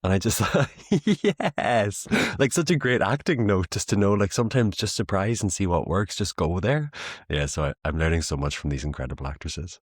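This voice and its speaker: Very deep, husky Irish man. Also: normal speed, no echo, clean studio sound. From Ireland. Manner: self-deprecating, animated storytelling with laughs. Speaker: playwright guest. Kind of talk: interview conversation.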